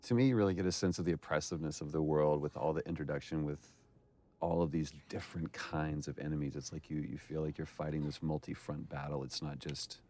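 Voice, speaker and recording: A man's voice: rumbling low, fairly even intonation, fast, darkish tone. A man chatting casually in a commentary as one of the game's makers. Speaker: commentator who worked on the game.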